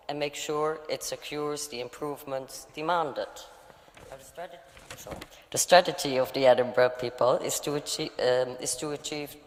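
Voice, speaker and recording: A Dutch woman standing as a candidate, giving a nervous, halting speech at a hustings. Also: a bass voice. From Netherlands. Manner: halting, nervous, many fillers. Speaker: election candidate. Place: large church hall. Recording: long church hall reverb.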